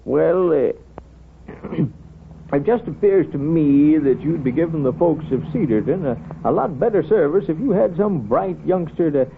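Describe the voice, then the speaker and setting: An American actor playing a wise, wry, middle-aged small-town doctor in 1930s radio drama style, with folksy, hesitant mumbling. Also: male voice, a little high, lively intonation, unhurried, gravelly, slight background noise. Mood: mildly admonishing. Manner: folksy, hesitant, absent-minded mumbling asides. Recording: tinny, narrow-band 1930s radio recording.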